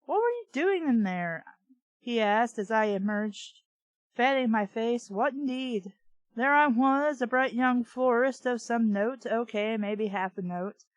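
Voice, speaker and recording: A woman's voice: a little high, lively intonation, unhurried, no room sound, low-quality recording. A woman reading fiction aloud and breaking in with sarcastic, irreverent, exasperated commentary. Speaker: book review host.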